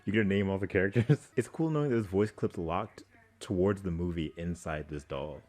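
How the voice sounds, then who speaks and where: A man with a low voice talks casually as a reaction video host. His delivery is informal and rambling, with a playfully exasperated edge. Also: fairly quick, a little mellow. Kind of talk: casual chat to camera.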